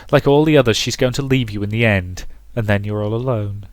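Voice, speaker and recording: A man reads as a manipulative mother from a visual novel, in a fairly low voice. She is cruel and possessive, talking down in a coaxing way. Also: a little fast, dry recording, close to an everyday voice. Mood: scornful, possessive. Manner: condescending, coaxing.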